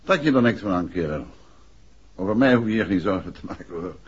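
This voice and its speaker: An actor playing a composed, courteous but insistent detective in a 1960s Dutch radio drama. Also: male voice, deep voice, animated, fairly quick, breathy edge. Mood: calm, probing. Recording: muffled old radio recording, faint hiss.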